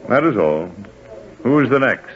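An actor playing an impatient, haughty Roman census official in a 1940s radio drama, curt and officious. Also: male voice, mid-range voice, big pitch swings, moderate pace, lightly acted. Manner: curt, officious, world-weary. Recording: dull, muffled 1940s radio recording.

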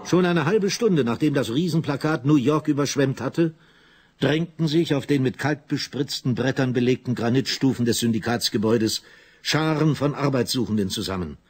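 A man narrating a scripted German radio drama in a measured, literary storytelling manner. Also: medium pitch, slightly rough.

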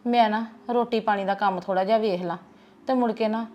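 An actress with a Punjabi accent playing a wise aunt who calmly gives family advice. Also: female voice, medium pitch, brisk, lo-fi sound, close to an everyday voice. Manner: gently advising, calm. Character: wise older paternal aunt giving advice.

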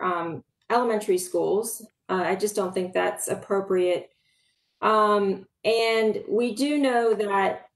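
A middle-aged woman hosting a public town hall over a video call, speaking earnestly with halting fillers from a room at home. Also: a little high. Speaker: town hall host, local supervisor. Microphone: video call webcam. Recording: echoey room, faint noise.

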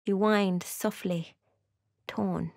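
An actress voicing a brooding, watchful female adventurer in a fantasy video game, telling the tale in a measured, hushed, dramatic way. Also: mid-range voice, steady tone, vocal fry, no echo, studio quality. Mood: solemn, watchful. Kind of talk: dramatic narrated game voice lines.

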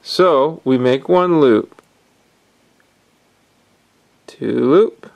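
A man calmly explaining step by step how to tie fishing knots, talking while his hands work at a table indoors. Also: medium pitch, extremely slow, gravelly, lo-fi sound. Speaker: fishing instructor. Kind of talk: hands-on knot-tying tutorial.

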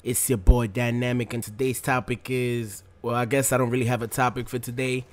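A man's voice: mid-range voice, flat delivery, brisk. A man in his twenties giving casual gameplay commentary, talking to his viewers in a slangy, laid-back, rambling way. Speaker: gaming video commentator.

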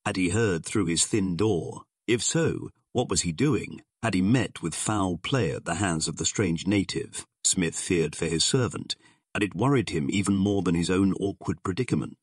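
A man with a husky voice reading scripted narration of a pulp adventure story as an audiobook narrator. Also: low voice, moderate pace, no room sound, clean studio sound.

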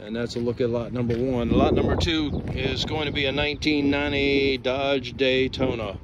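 A man's voice: mid-range voice, fairly even intonation, moderate pace, lo-fi sound. A plain-spoken, folksy man narrating an informal walkaround as a vehicle auction presenter. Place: outdoor car lot. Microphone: handheld phone held by the speaker. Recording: clear outdoor ambient and vehicle noise.

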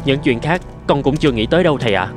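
A man's voice: medium pitch, animated, rapid-fire, music in the background, close to an everyday voice. An actor voicing a polite, idealistic young medical man in a dubbed cartoon, respectful and earnest in his delivery. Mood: earnest, pleading. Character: polite, idealistic young man, doctor's apprentice turned physician.

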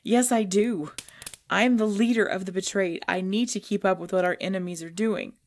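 A woman playing a proud, pregnant vampire leader in pain, speaking formally and dramatically in an ASMR roleplay with breathy drops toward a whisper. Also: mid-range voice, steady tone, darkish tone, close to an everyday voice. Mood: grave, urgent. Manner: slow, formal, dramatic, often dropping to whispers.

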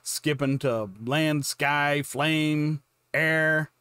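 A man's voice: on the high side, fairly even intonation, drawn-out words, sharp tone, clear, resonant tone, no room sound, studio quality. A man in his fifties hosting a reaction video with casual commentary in short, choppy, informal remarks. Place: small home room full of collectibles. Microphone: close mic on boom arm.

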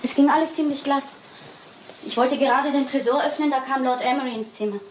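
An actress playing a proud, desperate young wife in a German radio crime drama, speaking in a grave, dramatic way. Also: female voice, high-pitched, steady tone, a little fast, slight background noise, close to an everyday voice. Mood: confessing.

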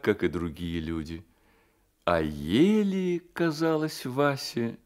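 A man narrating a literary radio play with expressive, deliberate storytelling phrasing. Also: mid-range voice.

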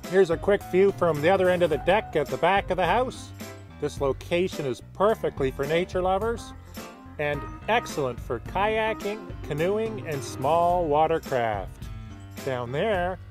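Middle-aged male realtor, slightly high voice, upbeat sales tour narration over clear guitar music. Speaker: real estate agent presenting a property tour.

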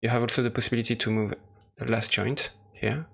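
Gravelly-voiced man giving a halting software tutorial. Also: mid-range voice, fairly even intonation. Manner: hesitant, halting explanation. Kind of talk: unscripted screen-recorded tutorial narration.

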